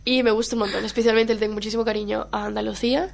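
A woman's voice: a little high, level tone, racing, creaky, slight background noise. A woman from Colombia whose first language is Brazilian Portuguese, chatting casually as a guest and laughing often. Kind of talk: casual chat.

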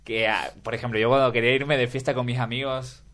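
A man's voice: medium pitch, flat delivery, quick pace, a bit bright. A young man from Ecuador with an Ecuadorian accent, chatting casually as an interview guest, enthusiastic and often restarting his sentences.

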